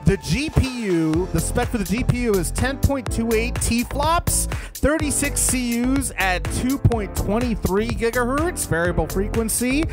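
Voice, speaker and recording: A man's voice: extremely high pitch, lively intonation, measured pace, over music. A man hosting a podcast in a casual, chatty, informal way, often repeating his phrases. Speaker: podcast host.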